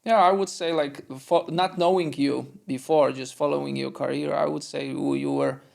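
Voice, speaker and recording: A man's voice: a little high, steady tone, moderate pace, gravelly, darkish tone. A middle-aged man who covers basketball as a journalist, co-hosting a podcast and telling stories in a chatty way, often quoting people. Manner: chatty storyteller, quotes others. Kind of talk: casual conversation.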